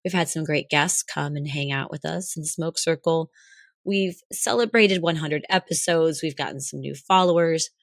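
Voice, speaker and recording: Hoarse young woman co-host, low voice, dry close sound, chatty and rambling. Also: moderate pace, no echo. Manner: chatty, laughs often, rambling restarts. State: hoarse, recovering lost voice. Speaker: podcast co-host. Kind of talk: casual chat.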